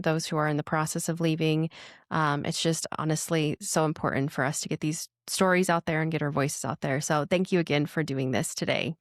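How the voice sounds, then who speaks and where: A woman hosting an interview with a smooth, resonant voice that sits on the low side. She speaks gently and encouragingly to her guest. The sound is dry and close. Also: no room sound. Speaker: host. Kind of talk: warm interview intro.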